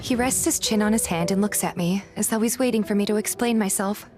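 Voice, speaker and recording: A woman playing a curious, polite young game heroine, narrating in the first person and speaking her dialogue in an earnest, gentle manner. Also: medium pitch, a little fast, lightly acted. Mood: earnest, reflective.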